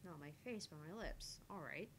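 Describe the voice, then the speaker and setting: A woman streamer in casual banter, with lively intonation and a medium pitch. A faint rumble of noise sits behind her. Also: normal speed. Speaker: gaming streamer.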